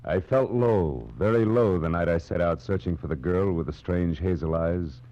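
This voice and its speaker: Man acting a hardboiled male detective, very low voice, sardonic deadpan, radio noir, dull old recording with music behind. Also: normal speed, natural voice. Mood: brooding, wry. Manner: deadpan, world-weary, sardonic. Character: weary, wry, hardboiled male private detective. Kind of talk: 1940s radio noir acting and first-person narration.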